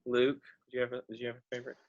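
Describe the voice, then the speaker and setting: A man's voice: medium pitch, monotone, rapid-fire, dull audio. Casual man hosting a group chat. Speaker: host of a cast question session. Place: online group video call.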